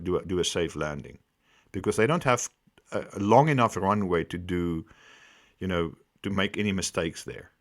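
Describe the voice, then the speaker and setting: Male analyst host, low-pitched, highly varied intonation, emphatic and deliberate, explaining off the cuff. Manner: emphatic, deliberate, repeats phrases for stress. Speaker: host giving accident analysis. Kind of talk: explanatory commentary, unscripted.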